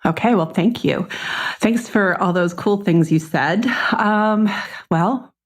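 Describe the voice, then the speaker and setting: A woman's voice: mid-range voice, slow. Middle-aged woman with a Boston accent. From Boston, United States. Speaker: podcast guest. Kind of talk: conversational personal storytelling.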